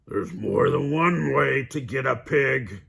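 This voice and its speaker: A menacing storybook wolf, acted out by a man reading aloud. He is loud and gleefully hungry. His threats are stretched out slowly in a swooping sing-song. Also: medium pitch, lively intonation, vocal fry, slightly rough, voice pushed up, heavily acted. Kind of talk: children's storybook read-aloud.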